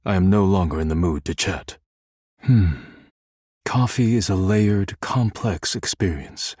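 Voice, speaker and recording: An actor voicing a reserved, melancholy man in a video game, speaking in a formal, measured, stiff way. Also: low-pitched, a little mellow, breathy edge, dry recording, clean studio sound, exaggerated voice. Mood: reflective, wistful. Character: reserved, formal, melancholy male workaholic devoted to porcelain. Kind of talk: video game character dialogue.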